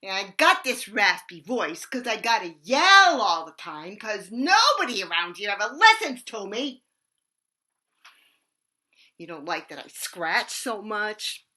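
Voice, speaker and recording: A woman playing a raspy, cackling street bag lady. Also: medium pitch, sing-song, a little slow. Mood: brash. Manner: loud, cackling, swings between shouting and hushed asides. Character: eccentric raspy bag lady, brash and manic. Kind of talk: stage monologue.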